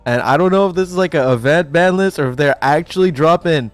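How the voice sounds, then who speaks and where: A young man hosting a gaming channel, chatting in a rambling, excitable way while sick with a cough, with a mic set close to him. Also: a little high, brisk, no echo, faint music behind. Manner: excitable, rambling, swears casually. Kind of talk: casual reaction commentary. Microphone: desk mic set too close.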